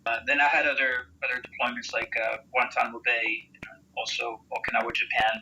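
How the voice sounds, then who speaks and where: Middle aged american guy telling his story, very bright hard-edged voice, barely any pitch movement, bit of noise. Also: steady tone, fairly quick, poor audio. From Texas, United States. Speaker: interviewed military volunteer and veteran. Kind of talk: recounting personal experience in interview.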